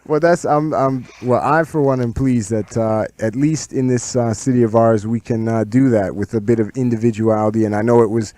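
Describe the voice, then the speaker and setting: Halting male radio host, dry studio. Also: medium pitch, steady tone, dark tone, no room sound. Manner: halting, lots of uh fillers. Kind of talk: radio interview.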